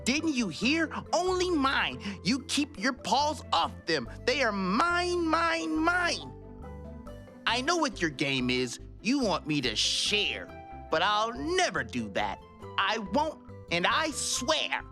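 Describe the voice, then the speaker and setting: Guy doing a greedy grumbly cartoonish storybook pug, high and loud, swooping all over, defiant. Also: huge pitch swings, slow pace, soft background music, full character voice. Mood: stubborn. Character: greedy, selfish male pug dog, grumbling and possessive. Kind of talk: children's storybook read-aloud.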